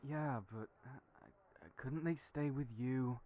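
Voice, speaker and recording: A man's voice: medium pitch, slow pace, lightly acted. A man playing Julian, a kind but anxious young man who doubts himself, talking hesitantly. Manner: hesitant, worried, self-deprecating. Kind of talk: audio roleplay acting. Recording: tinny phone-like audio.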